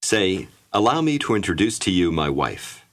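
A man narrating a language course in a clear, measured instructional way. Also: low voice, big pitch swings. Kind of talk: scripted language-lesson narration.

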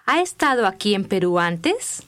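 Woman, mid-pitched voice, lively intonation, deliberate enunciation, course dialogue reader. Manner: clear, deliberate enunciation for learners. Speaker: language-course dialogue and model speaker. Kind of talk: scripted dialogue reading.

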